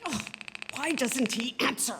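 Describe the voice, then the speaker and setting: An actress playing a nervous, demanding bedridden wife in a theatrical radio suspense drama, querulous and melodramatic. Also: female voice, high-pitched, a little slow, noisy background. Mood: frantic, desperate. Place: theatre stage. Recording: live theatre stage, long hall reverb.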